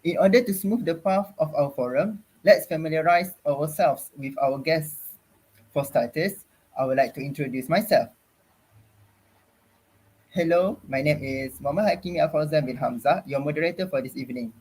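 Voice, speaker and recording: A man's voice: a little high, moderate pace, a little mellow, breathy edge, low-quality recording. A young man from Malaysia moderating an online forum, speaking in a formal, ceremonious way over a video call.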